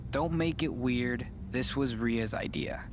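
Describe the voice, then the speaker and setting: A young male wrestler in a video game, played by a man, sounding sheepish and deferential. His voice is of medium pitch with a casual delivery. There is slight background noise. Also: takes it slowly, close to an everyday voice. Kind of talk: video game cutscene acting.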